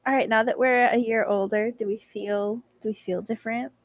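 A woman's voice: on the high side, fairly even intonation, moderate pace, no echo. Woman in her twenties, podcast co-host, teasing and giggly, dry close sound. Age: 20s. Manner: teasing, giggly, asks the questions. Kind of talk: casual chat.

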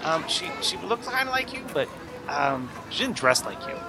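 Man putting on a high, rambling old lady. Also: very animated, creaky, crowd noise, background noise. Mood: rambling, friendly. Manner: halting, rambling. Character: chatty, forgetful elderly woman youth-troop chaperone.

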